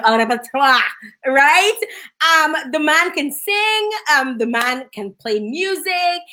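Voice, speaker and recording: A woman from Dominica with a Dominican accent, hosting a casual interview, bubbly and quick to laugh, slipping into Creole phrases. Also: a little high, pushed and bright. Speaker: host.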